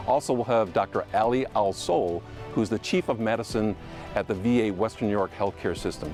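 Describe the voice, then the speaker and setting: Male host of a local TV program, low voice, friendly and earnest interviewer. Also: a little mellow. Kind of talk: TV interview hosting.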